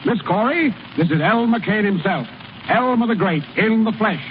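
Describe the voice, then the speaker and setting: A bossy, scheming ball club manager in a vintage radio comedy. He talks in brisk slang with a high, very animated voice. The sound is muffled like an old broadcast. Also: slight background noise. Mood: jovial, scheming. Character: gruff, scheming baseball team manager, a man. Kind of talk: 1930s radio comedy acting.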